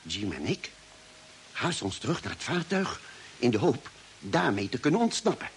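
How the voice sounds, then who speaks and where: An actor playing a space traveller solemnly recounting a perilous voyage as scripted narration in a Dutch radio drama. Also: male voice, mid-range voice, very animated, moderate pace, a little hiss or hum. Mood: dramatic, grave.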